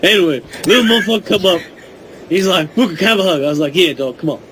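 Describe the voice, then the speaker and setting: A man's voice: sits high, fast, close to an everyday voice. A man playing an indignant veteran wrestler telling tall stories in foul-mouthed slang. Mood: aggrieved storytelling. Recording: noisy hobby podcast voice recording.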